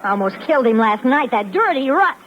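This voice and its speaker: A woman's voice: high voice, sing-song, brisk, fairly bright tone. A woman playing a brassy, sassy young American waitress in a 1950s radio crime drama, talking in indignant slang. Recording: muffled, noisy old 1950s radio recording.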